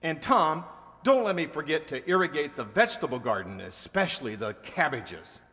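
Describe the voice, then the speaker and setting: Man playing a cocky, animated bandleader. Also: on the high side, moderate pace. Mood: brash. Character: cocky, pompous bandleader homeowner, slangy. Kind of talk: old-time radio comedy acting. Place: amateur stage reading in a hall. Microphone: stand microphone. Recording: echoey stage in a large hall.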